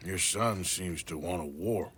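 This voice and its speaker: An actor playing an ailing, remorseful outlaw gunslinger in a video game, with an American accent and a plain, terse drawl. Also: male voice, deep voice, measured pace, a bit bright, a little hiss or hum. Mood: weary, frank. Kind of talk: video game cutscene acting.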